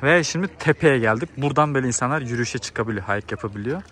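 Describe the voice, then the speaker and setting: A man's voice: mid-range voice, animated, racing, a bit bright, faint music behind. A young man chatting casually and enthusiastically to his phone camera outdoors, in an informal, exclamatory way as a travel vlogger. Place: outdoors in a mountain village and hillside. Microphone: phone at arm's length. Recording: outdoor phone audio with ambient noise.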